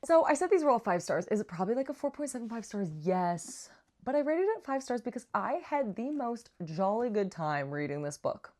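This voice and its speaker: A woman's voice: on the high side, big pitch swings, a little fast, a little mellow. A woman giving a casual, chatty book review with slangy asides and playful, dramatic emphasis. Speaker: book reviewer, vlog host.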